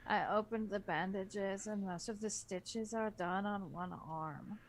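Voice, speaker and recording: A woman takes her part in a group story reading, then cuts in with blunt, mocking remarks. Her pitch is average for a woman, and there is faint noise behind her. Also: fairly even intonation, unhurried. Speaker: book club co-reader. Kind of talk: dramatic reading with joking commentary.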